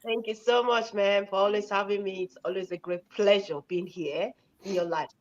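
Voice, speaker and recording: A woman's voice: medium pitch, fairly even intonation, moderate pace, a little mellow. A woman from Nigeria with a Nigerian accent, speaking politely and deferentially as a livestream guest. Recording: muffled, band-limited online stream audio.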